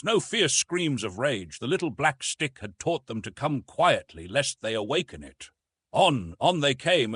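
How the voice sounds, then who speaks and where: A male narrator reads literary adventure fiction with measured drama. His medium-pitched voice swings widely in intonation and has a husky grain. The sound is close and dry. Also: a bit bright, no echo. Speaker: audiobook narrator.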